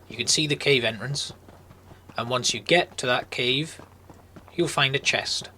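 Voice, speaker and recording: A man's voice: medium pitch, sharp tone, a little hiss or hum. A man giving informal, explanatory commentary as the host of a video game walkthrough. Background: music.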